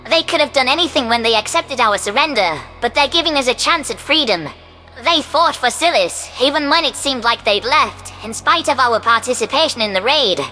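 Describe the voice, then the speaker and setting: A man's voice: very high voice, expressive, brisk, bright, forceful tone, faint music behind, pitched above the performer's own voice, exaggerated voice. A man voicing a humble, grateful alien governor giving a formal speech in a sci-fi audio story, with long hall reverb.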